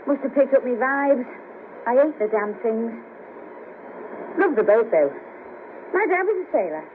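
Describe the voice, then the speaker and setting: Brash, friendly Merseyside sex worker, female, high and blunt, 1970s radio drama, patchy thin tape sound. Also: slight background noise. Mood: disgusted, exasperated. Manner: bawdy, chatty, blunt. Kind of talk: 1970s radio play acting. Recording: patchy narrow-band cassette of 1970s radio broadcast.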